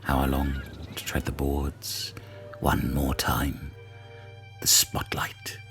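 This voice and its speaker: A pompous drama teacher, extremely deep, slow and grandiose. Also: male voice, monotone, fairly bright tone, soft background music, full character voice. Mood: theatrical. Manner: grandiose, hammy Shakespearean declaiming.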